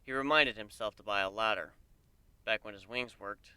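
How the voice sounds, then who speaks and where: A man narrating a story as a dramatic reading, in a measured, descriptive manner. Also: fairly low pitch, bright, forceful tone, clean tone, dry recording. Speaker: fan fiction narrator.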